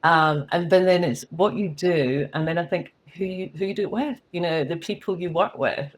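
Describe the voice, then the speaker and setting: A woman's voice: lowish voice, moderate pace. A middle-aged woman with a Scottish accent, talking candidly and chattily as a guest on an interview podcast. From Scotland. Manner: candid, chatty, laughs at herself. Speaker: guest, company CEO.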